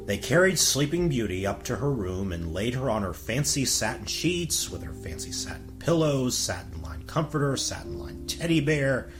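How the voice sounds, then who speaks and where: A man narrating a comic fairy-tale parody in a wry, tongue-in-cheek scripted style. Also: medium pitch.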